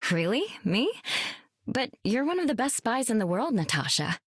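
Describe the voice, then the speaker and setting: A modest heroine who is surprised by praise. Her slightly high, faintly husky voice rises and falls expressively, recorded dry. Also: very animated, normal speed, no echo. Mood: modest, pleased.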